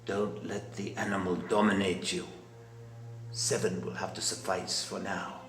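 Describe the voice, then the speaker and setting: An actor playing the composed, menacing leader of a secret murderous society, speaking in formal, measured oratory in a film drama. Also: male voice, deep voice, flat delivery, vocal fry, a bit bright, echoey room, faint music behind, heavily acted. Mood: solemn, menacing.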